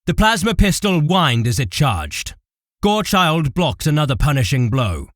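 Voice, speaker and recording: A man's voice: on the high side, very animated, normal speed, no echo, studio quality. A man giving intense, theatrical scripted narration for an audio drama.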